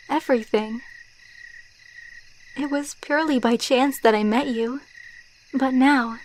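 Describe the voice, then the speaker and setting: A woman playing a tender, wistful immortal vampire girlfriend in an ASMR roleplay, speaking softly, intimately and sentimentally. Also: a little high, a little hiss or hum. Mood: loving, earnest. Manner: soft, intimate, unhurried, sentimental.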